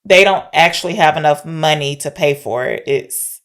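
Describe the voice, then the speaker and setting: A woman's voice: sits low, moderate pace, fairly bright tone. A woman podcast co-host chatting casually and candidly as she recaps a film, often posing questions. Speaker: podcast co-host discussing films.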